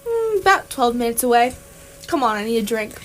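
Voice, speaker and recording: Offhand, deadpan alien fellow played by a woman, very high voice, radio comedy, faint noise behind. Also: rough recording. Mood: deadpan, brisk. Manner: deadpan, brisk, matter-of-fact. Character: unflappable, offhand alien man passing as human. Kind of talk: amateur radio theatre acting.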